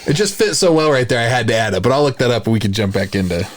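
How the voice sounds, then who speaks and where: A man's voice: medium pitch, expressive, rapid-fire. A man chatting informally as a podcast co-host, with a wry way of talking. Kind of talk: casual chat.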